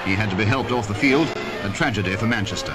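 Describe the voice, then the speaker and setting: A man's voice: fairly low pitch, quick pace. A man with a British accent giving formal, clipped scripted sports narration as a 1950s newsreel commentator. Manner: formal, clipped announcer delivery. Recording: vintage 1950s newsreel, loud music behind. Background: loud music.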